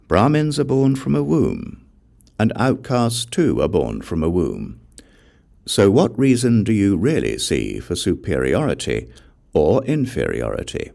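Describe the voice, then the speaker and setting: A man's voice: deep voice, creaky, a little mellow, full character voice. A man voicing a defiant outcast king who argues emphatically and rhetorically in a scripture audiobook. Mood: challenging. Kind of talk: dramatic audiobook reading of scripture.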